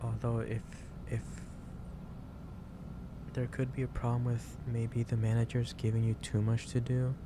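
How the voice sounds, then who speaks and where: A man playing a gentle, caring office boss in a soft-spoken ASMR roleplay, talking in a halting, reassuring way. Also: medium pitch, completely flat delivery, close to an everyday voice. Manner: halting, reassuring, unhurried delivery. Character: gentle, caring male office boss lecturing an overworked employee. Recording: clearly noisy background ambience.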